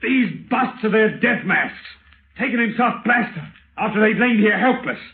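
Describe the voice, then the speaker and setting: An actor playing a vengeful, educated husband in an old-time radio thriller, well-spoken, deliberate and theatrical. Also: male voice, high-pitched, lively intonation, creaky. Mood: vengeful, raving. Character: vengeful husband, educated lawyer, coolly menacing and obsessed. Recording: scratchy, tinny old radio recording.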